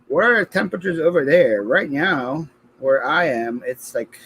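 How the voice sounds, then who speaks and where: A man's voice: a little high, lively intonation, normal speed, a bit bright, slightly rough. A man co-hosting a livestream, joking around, reading the chat aloud and stammering on restarts. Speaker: co-host of a livestream.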